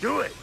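Very gravelly, crotchety dwarf blacksmith. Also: male voice, very high voice, heavily acted. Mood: gleeful. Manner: blunt, crotchety, folksy. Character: gruff, crude, foul-mouthed male dwarf blacksmith. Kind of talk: video game acting.

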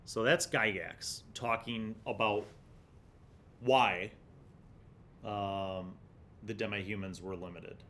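A middle-aged man hosting a tabletop gaming retrospective, talking casually and reflectively, explaining things in halting phrases with repeated words, into a studio microphone. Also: fairly low pitch, steady tone, fairly bright tone. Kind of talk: casual commentary on old gaming magazines. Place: small indoor studio room. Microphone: large desktop studio microphone.

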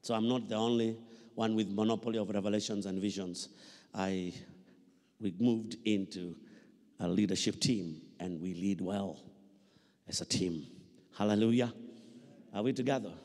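A man's voice: lowish voice, fairly even intonation, normal speed, pauses often, warm tone. A middle-aged Kenyan man preaching a sermon with emphatic repetition and questions to his listeners, speaking into a handheld microphone. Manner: emphatic, repeats phrases, prompts listeners with questions. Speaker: pastor preaching. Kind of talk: sermon with call-and-response questions. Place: large church hall, indoors. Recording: reverberant hall PA sound.

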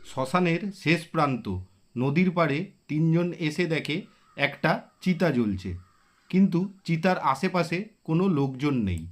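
A man narrating a spooky village cartoon story in a slow, measured way. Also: fairly high pitch.

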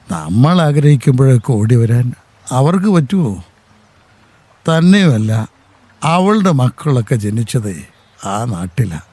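A man playing a proud older farmer in a radio drama, with a Kerala Malayalam accent and a steady, fatherly way of talking. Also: mid-range voice, slightly rough, dry recording, heavily acted. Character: proud aging farmer devoted to his land. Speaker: old farmer, husband and father.

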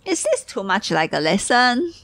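Tired gravelly-voiced woman. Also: medium pitch, big pitch swings, a bit bright, no room sound. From Taiwan. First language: Taiwanese. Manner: halting, searching for words, giggly. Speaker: tea teacher. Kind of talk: guided tea tasting.

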